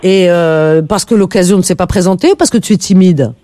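A woman's voice: on the low side, fairly quick, mellow, dry recording. A woman hosting a call-in radio show, lecturing her caller in an emphatic, indignant way.

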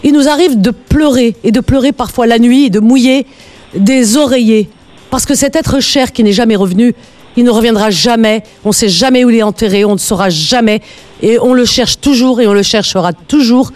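A woman's voice: a little high, a little fast, a little mellow. A woman hosting a live radio call-in, speaking in an earnest, emphatic way and repeating phrases for emphasis.

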